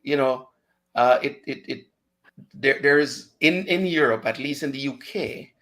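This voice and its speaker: A man's voice: medium pitch, a little slow, a bit bright. Jamaican guy hosting a radio chat, easygoing, laughs a lot, drops into patois. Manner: chatty, mixes patois into speech, laughs often. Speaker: radio show host. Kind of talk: casual chat.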